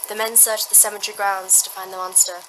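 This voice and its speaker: A woman's voice: medium pitch, flat delivery, quick pace, close to an everyday voice. A woman narrating a horror radio drama in a scripted storytelling manner. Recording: noisy amateur booth, buzz and crowd ambience. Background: loud crowd ambience, buzzing.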